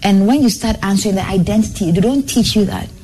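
A young woman guest sharing her own story on a talk show, earnest and animated, her phrases tumbling out with a lot of "like". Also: medium pitch, fast, slight background noise.

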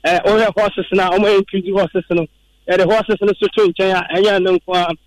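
A man's voice: high-pitched, flat delivery, normal speed, rough recording. A Ghanaian man presenting live radio at an outdoor family event, chatting and asking questions in an upbeat way.

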